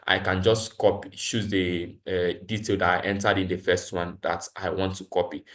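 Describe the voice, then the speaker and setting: Low-voiced man leading an online tutorial. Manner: instructional, repeats points. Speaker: training facilitator. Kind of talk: live tutorial with screen demonstration. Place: online video call, voice over screen share.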